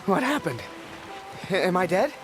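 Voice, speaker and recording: Earnest young war photographer, music behind. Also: male voice, sits high, very animated, unhurried. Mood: dazed, confused. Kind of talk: reflective narration and dramatic game dialogue. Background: music, rain.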